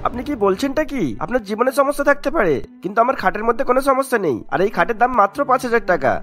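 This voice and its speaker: A brash street bed seller from a cartoon, voiced by a man, high-pitched and quick. He has a salesman's curt, defensive way of talking. Faint music plays behind him. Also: a bit bright. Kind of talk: Bengali cartoon acting.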